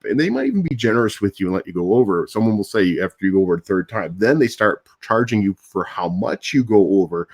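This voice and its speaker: Older guy with a low voice, rambling live stream chat, chuckles a lot, kinda deep. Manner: rambling, chuckles often, restarts. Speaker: YouTube host. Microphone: different audio device that lowers voice.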